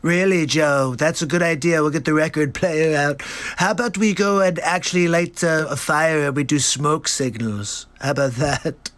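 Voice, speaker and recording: A man hosting a comedy livestream talks over the clips he plays in a somewhat high voice. His asides are sarcastic and incredulous, and he sounds like he is streaming from a home setup. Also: normal speed, fairly bright tone. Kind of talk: mocking commentary between clips.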